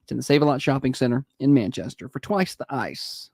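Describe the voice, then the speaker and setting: A man's voice: medium pitch, creaky, darkish tone, no room sound, clean studio sound. A man in his thirties co-hosting a morning radio show, joking in casual banter. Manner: quick, joking, slightly self-deprecating. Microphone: studio microphone.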